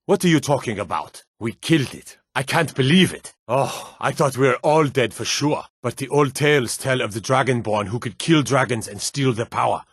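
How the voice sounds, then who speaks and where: A man's voice: medium pitch, animated, moderate pace, few pauses, a bit bright, slightly rough, dry recording, clean studio sound. A man playing an earnest, superstitious Nord town guard and soldier in a fantasy video game, speaking in a plain, hardy way. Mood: awed.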